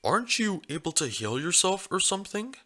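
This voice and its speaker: A man's voice: high-pitched, sing-song, brisk, bright, forceful tone, voice pushed up, full character voice. A man voicing a clueless, stubborn male pony in a story reading, pestering with puzzled questions. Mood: insistent.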